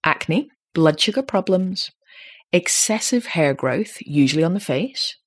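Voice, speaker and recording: A woman in her late thirties with a slightly deep voice, chatting casually as a podcast host and growing animated when she makes a point. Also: measured pace, breathy edge. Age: 30s. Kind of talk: casual chat.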